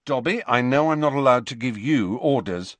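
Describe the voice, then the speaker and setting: Male reader as a decisive teenage storybook wizard, steady and dry. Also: medium pitch, moderate pace, no room sound, close to an everyday voice.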